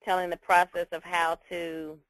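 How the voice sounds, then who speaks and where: A woman's voice: fairly low pitch, level tone, normal speed, fairly bright tone, dry recording, low-quality recording. A woman teacher explaining things informally on a class call, reassuring and repeating her key points. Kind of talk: informal spoken instruction.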